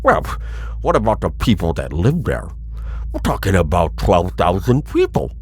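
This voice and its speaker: A man's voice: low voice, wildly swooping pitch, moderate pace, a little hiss or hum, heavily acted. A man playing an uneasy corporate colleague in an audio drama, questioning and concerned.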